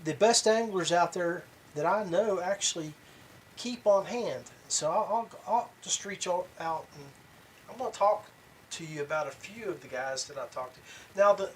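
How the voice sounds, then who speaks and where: A man with a slightly high voice hosts a casual podcast on his own. He speaks in a halting way, pausing mid-phrase, and some noise sits behind his voice. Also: poor audio. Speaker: podcast host. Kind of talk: casual solo podcast talk.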